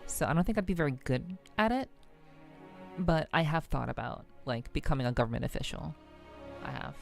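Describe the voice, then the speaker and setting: A woman chatting casually on a livestream as a VTuber, rambling and halting, often repeating her phrases. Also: deep voice, expressive, creaky. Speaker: VTuber streamer. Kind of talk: casual livestream chat with viewers. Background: faint music.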